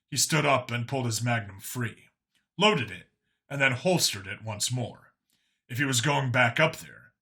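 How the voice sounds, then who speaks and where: Expressive, bright-voiced male story narrator. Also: mid-range voice. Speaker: audiobook narrator. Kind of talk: scripted dramatic fiction narration.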